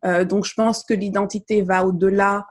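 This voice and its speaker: A woman's voice: medium pitch, flat delivery, moderate pace. A young woman from Mali, a podcast guest talking casually and thoughtfully, with many hesitations. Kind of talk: casual interview chat.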